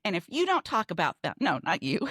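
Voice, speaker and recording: A woman's voice: on the low side, huge pitch swings, quick pace, fairly bright tone, no echo, clean studio sound. A woman in her fifties, a podcast co-host, talking in a casual conversational style.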